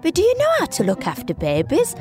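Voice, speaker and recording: A woman's voice: sits high, wildly swooping pitch, over music, voice pushed up. A woman voicing a tired young mother, weary and fretful, drawing her words out. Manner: weary, fretful, slow and drawn-out.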